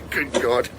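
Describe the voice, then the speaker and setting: An actor playing a jovial, wry Glaswegian boatman in a radio drama, chatty and droll, with engine noise behind him. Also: medium pitch. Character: jovial, wry working boatman searching the river. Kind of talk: naturalistic radio drama acting. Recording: loud vehicle engine noise behind, clicks.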